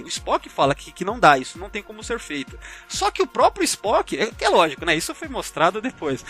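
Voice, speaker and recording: A man's voice: high-pitched, pushed and bright. A man with a Brazilian Portuguese accent in a casual podcast discussion, rambling chattily and adding frequent tag questions. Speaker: podcast panelist.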